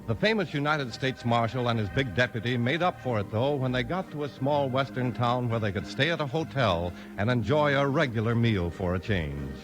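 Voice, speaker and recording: A man's voice: medium pitch, lively intonation, moderate pace, hardly any pauses, music in the background. A man delivering scripted announcing and narration in the style of 1950s radio.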